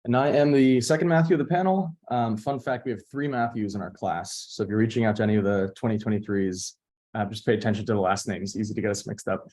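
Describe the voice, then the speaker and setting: Quick, low-voiced man from New Jersey, brisk and lightly joking. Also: darkish tone. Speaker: graduate student panelist. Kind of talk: casual self-introduction on a video call.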